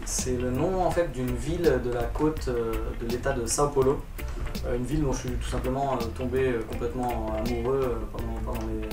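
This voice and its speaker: A man's voice: medium pitch, distant mic. Easygoing man chatting off-mic. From France. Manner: loose, rambling, many fillers and restarts. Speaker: reggae singer. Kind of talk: relaxed interview chat. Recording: roomy, off-mic, music behind.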